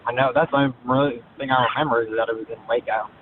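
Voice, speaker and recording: A man calling on a thin phone line, talking in a halting, hesitant, evasive way. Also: medium pitch, fairly even intonation, slightly rough. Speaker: caller.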